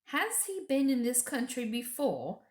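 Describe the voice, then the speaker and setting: A woman voicing a gruff, grave, wary male talking beaver in a children's storybook, speaking bluntly and with weight. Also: high-pitched, big pitch swings, unhurried, reverberant, pitched above the performer's own voice. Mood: grim, certain. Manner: emphatic, blunt, weighty pronouncements. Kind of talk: children's storybook character reading.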